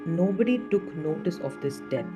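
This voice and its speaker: A woman with an Indian accent narrating a story as a podcast host, in a measured way that poses questions to build intrigue. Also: lowish voice, expressive. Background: music under the voice.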